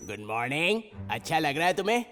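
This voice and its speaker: An actor voicing a friendly, reassuring adult train-yard helper in a Hindi dubbed children's cartoon, encouraging and expressive. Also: mid-range voice, quick pace, over music, natural voice.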